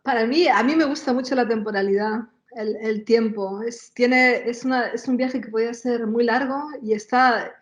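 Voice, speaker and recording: A woman's voice: on the high side, normal speed, few pauses. A woman answering as an interview guest in a conversational way, searching for words and reformulating as she goes. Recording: roomy, dull lo-fi sound.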